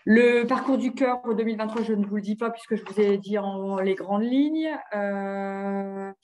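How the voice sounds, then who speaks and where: A woman's voice: mid-range voice, normal speed, darkish tone, a little hiss or hum. A woman giving an informal webinar slide presentation, often hesitating and repeating words as she looks for her phrasing. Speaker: presenter of local health programmes.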